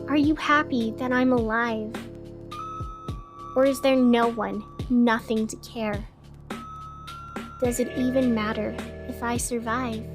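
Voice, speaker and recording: A woman's voice: fairly high pitch, fairly even intonation, takes it slowly, long pauses. A woman playing a weary, lonely radio host, musing wistfully to herself in a scripted radio fiction monologue. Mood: lonely, pleading. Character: weary, lonely, melancholy female radio host in a gray city. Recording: music playing under the voice.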